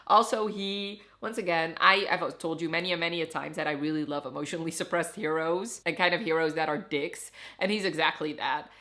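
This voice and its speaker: A woman with a low voice who grew up speaking Dutch. She talks in a casual, conversational reviewing style, with expressive emphasis on her opinions. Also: brisk, few pauses. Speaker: book reviewer. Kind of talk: casual chatty book review to camera.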